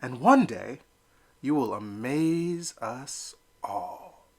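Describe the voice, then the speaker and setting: A man voicing a gentle, loving mother duck in a storybook, soft and soothing. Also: medium pitch, lively intonation, extremely slow, full character voice. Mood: tender.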